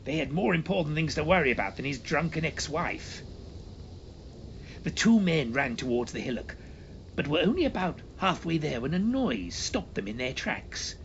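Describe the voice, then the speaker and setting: A man's voice: fairly high pitch, big pitch swings, normal speed, highs cut off, a little hiss or hum. A man reading a comic horror story in a pompous, theatrical, mock-serious storytelling manner. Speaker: story reader and performer. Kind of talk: dramatic scripted narration of comic horror.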